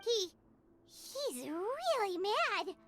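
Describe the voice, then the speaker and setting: A super squeaky little fairy girl for a game, innocent, cartoony, voice swoops all over. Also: very animated, very slow, full character voice. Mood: worried awe. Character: tiny, childlike floating fairy companion, excitable and innocent female. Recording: music behind.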